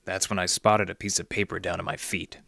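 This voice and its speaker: Deep-voiced, gravelly hardboiled detective. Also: male voice, brisk, no echo, clean studio sound, soft background music, exaggerated voice. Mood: tense, wry narration. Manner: deadpan, sardonic, slangy. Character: wry, tough male library detective narrating his case. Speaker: actor, lead and narrator. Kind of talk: hardboiled 1940s detective radio acting.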